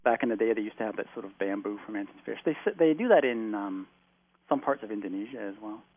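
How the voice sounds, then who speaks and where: A man from Canada, a guest on a phone interview, rambling in relaxed stories with plenty of fillers, heard over a tinny phone line. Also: lowish voice, quick pace, dry recording. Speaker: guest, long-term traveller.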